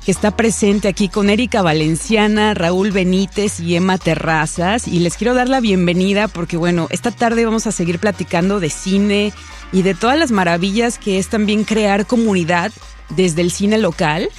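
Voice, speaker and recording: A woman hosting a radio talk show, chatting warmly with guests and mixing Spanish with English. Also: medium pitch, moderate pace, soft background music. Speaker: radio host.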